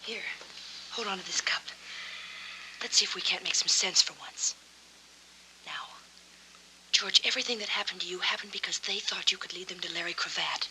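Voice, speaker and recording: An American actress playing an earnest, loyal young lounge singer in a 1940s film noir, coaxing and persistent. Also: female voice, on the low side, steady tone, normal speed, pauses often, pushed and bright. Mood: brisk reasoning. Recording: faintly noisy 1940s film soundtrack.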